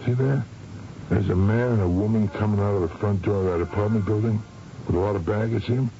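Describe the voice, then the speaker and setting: An actor playing a cool, sardonic blackmailer and killer in a 1970s radio drama, with streetwise, falsely affable menace. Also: male voice, lowish voice, fairly quick, dark tone, close to an everyday voice. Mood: wry, scheming. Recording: noisy, dull vintage radio broadcast.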